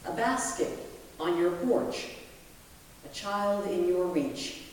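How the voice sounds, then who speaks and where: A woman pastor reading a poem aloud in a deliberate, measured way with dramatic pauses, recorded in a large reverberant hall. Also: on the low side, a little hiss or hum. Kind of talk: poetry reading from the pulpit. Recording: very echoey hall, distant microphone.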